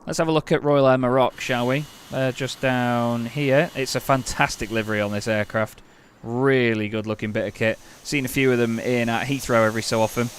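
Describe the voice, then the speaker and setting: A man's voice: mid-range voice, fairly even intonation, fairly quick, hardly any pauses. A man playing an air traffic control game, chatting casually while reading out call signs and getting exasperated when commands fail. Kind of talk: casual gameplay commentary with radio calls.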